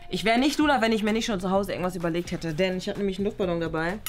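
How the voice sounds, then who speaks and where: A woman's voice: mid-range voice, natural voice. A woman voicing a cool, bossy, sarcastic new schoolgirl in a children's toy-play story. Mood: bossy, scheming. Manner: sarcastic, assertive, brisk. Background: prominent music.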